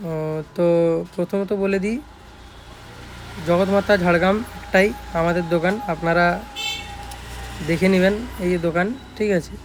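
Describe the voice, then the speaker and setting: Male shop-owner vlogger, high-pitched voice, West Bengal Bengali accent, informal repetitive patter, some ambient noise behind. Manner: informal, repetitive, checks in with listeners often. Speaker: speaker repair shop owner presenting his work. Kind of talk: casual product showcase vlog.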